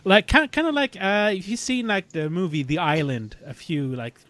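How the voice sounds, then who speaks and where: Halting man with a close, dry sound. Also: high voice, a bit bright, no room sound. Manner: halting, thinking aloud. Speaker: podcast panelist. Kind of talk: casual chat reviewing sci-fi television.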